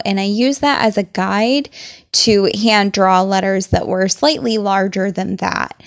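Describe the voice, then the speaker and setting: A young woman narrating a journaling tutorial in a chatty, explaining way, her voice a little raspy as she is losing it. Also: medium pitch. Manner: chatty, enthusiastic, explains each step. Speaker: journaling vlogger. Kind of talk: voice-over craft tutorial.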